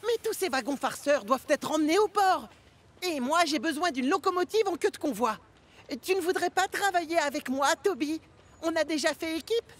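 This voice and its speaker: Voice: sits high, lively intonation, normal speed. A performer voicing an eager young male steam engine in a children's cartoon, with a plucky, insistent delivery. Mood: impatient, eager. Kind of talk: animated children's cartoon dialogue.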